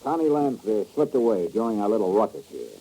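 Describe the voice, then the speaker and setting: Hard-boiled radio detective, wry and blunt, husky, dark old-time sound. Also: male voice, on the low side, very animated, a little fast, poor audio. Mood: tough, blunt. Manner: sardonic, blunt, clipped. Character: tough, wry, hard-boiled male insurance investigator. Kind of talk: 1950s radio detective acting with narration.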